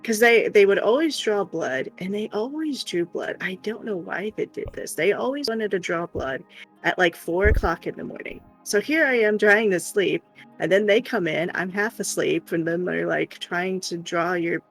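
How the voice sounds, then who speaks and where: An animated speaker with a creaky, mid-pitched voice tells personal stories in a casual chat. Quoted remarks get acted out, and faint music plays behind. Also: normal speed, low-quality recording. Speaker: live stream host.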